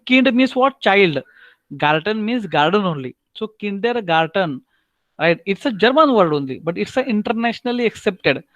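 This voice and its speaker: Man, marathi-accented indian english, high pitch, dry close sound, online language lecture, repeats and asks "right?". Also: a bit bright, no room sound, lo-fi sound. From Maharashtra, India. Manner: repeats himself, keeps asking "right?", spells out German words slowly. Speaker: German language teacher.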